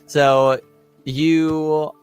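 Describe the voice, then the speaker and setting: A man running a tabletop game as game master, narrating conversationally and often pausing to repeat or rephrase himself. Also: on the high side, flat delivery, clear, resonant tone, faint music behind.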